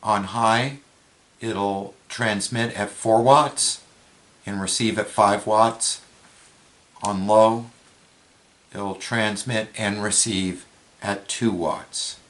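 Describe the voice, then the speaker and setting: Low-voiced man explaining gear step by step. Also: steady tone, extremely slow, long pauses, a bit bright. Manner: plain, step-by-step, matter-of-fact. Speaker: product reviewer, presenter. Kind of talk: hands-on product demonstration, explanatory.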